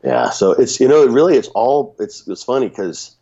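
A man with a cold and a raspy voice, talking casually on a podcast with many restarts and fillers. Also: medium pitch, very animated, normal speed, mellow, lo-fi sound. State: sick with a runny nose.

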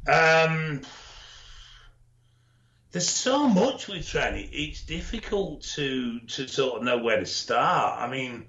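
Bright-voiced man, dull lo-fi sound. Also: fairly high pitch. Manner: knowledgeable, measured, with dry humour and frequent ums. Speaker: expert co-host. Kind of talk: casual podcast chat, explaining. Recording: dull lo-fi audio.

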